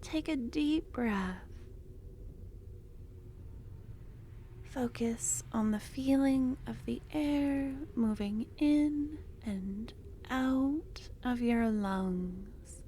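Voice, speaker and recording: A woman voicing an obsessive, possessive female cultist lover in an ASMR roleplay, talking softly and slipping into whispers, tender yet menacing. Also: on the high side, extremely slow, a little hiss or hum. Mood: soothing whisper. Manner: soft-spoken, drifting into whispers.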